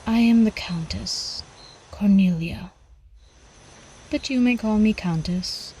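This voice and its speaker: Woman playing a slow, velvety vampire countess. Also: medium pitch, warm tone, a little hiss or hum. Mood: seductive, wistful. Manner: slow, refined, coyly teasing, formal old-fashioned diction. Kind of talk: ASMR roleplay acting.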